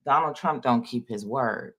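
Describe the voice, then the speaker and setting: A man's voice: mid-range voice, normal speed, sharp tone. A man giving a loose, rambling spiritual talk to his viewers in an informal, folksy way. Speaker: spiritual vlogger. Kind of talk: casual spiritual monologue.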